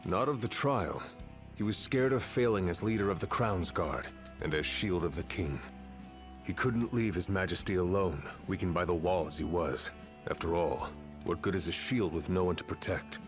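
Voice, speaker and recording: An actor playing a weary veteran warrior with a dry, laconic, sardonic manner. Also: male voice, deep voice, moderate pace, lightly acted. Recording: game audio with music.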